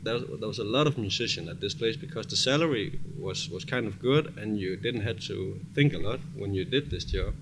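A man's voice: fairly low pitch, expressive, fairly quick, few pauses. A man with a Danish accent, a music producer giving casual, emphatic interview answers in informal non-native English. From Denmark. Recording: clearly noisy background ambience.

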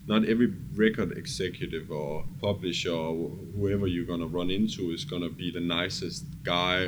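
A man with a fairly low voice and a Danish accent talks in a casual interview. He is earnest and discursive, swearing now and then. There is clear ambient noise around him. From Denmark. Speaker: music producer and songwriter, interview guest.

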